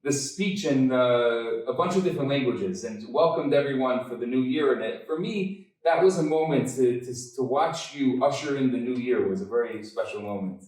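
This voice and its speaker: A man's voice: medium pitch, moderate pace, hardly any pauses, darkish tone, very echoey, recorded from a distance, low-quality recording. A man hosting as a rabbi, recalling a shared memory with enthusiasm and lots of fillers. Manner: enthusiastic, filler-heavy recollection. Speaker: rabbi host. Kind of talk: casual interview conversation.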